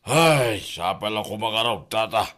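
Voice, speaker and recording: A man's voice: lowish voice, expressive, unhurried, a bit bright. A man playing a debt-burdened tricycle driver in an Ilocano comedy drama, lamenting his troubles with an Ilocano accent. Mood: worried, lamenting.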